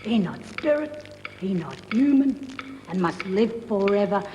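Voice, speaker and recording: A woman performing a solemn storyteller of dark spirit legends in a theatrical radio style. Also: mid-range voice, expressive, measured pace, natural voice. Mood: grave, ominous. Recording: reverberant hall, loud background noise.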